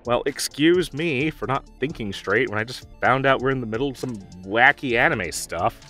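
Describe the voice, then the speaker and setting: Man acting a dorky, halting young gamer guy. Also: mid-range voice, lively intonation, bright, forceful tone, soft background music. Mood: flustered. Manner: halting, stammering, awkward. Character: dorky, nervous male gamer, protective best friend. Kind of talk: audio roleplay drama, conversational.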